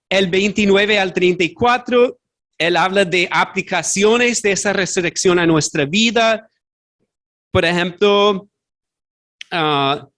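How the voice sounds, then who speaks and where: A man's voice: high-pitched, fairly bright tone. A man preaching a sermon as pastor, earnest, a non-native Spanish speaker who searches for words and corrects himself aloud. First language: not Spanish. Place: church sanctuary.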